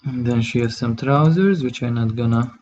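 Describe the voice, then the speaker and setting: A man from Hungary, speaking English with a Hungarian accent, talks through a live drawing tutorial, thinking aloud in a halting way as he works. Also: medium pitch, darkish tone, highs cut off, slight background noise. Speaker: digital artist streaming his work.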